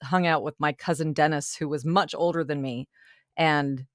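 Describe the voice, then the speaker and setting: A woman's voice: low voice, fairly even intonation, normal speed, dry recording, clean studio sound. A woman voice actor, born in Ireland, chatting as a podcast guest and laughing easily. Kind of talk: casual interview chat.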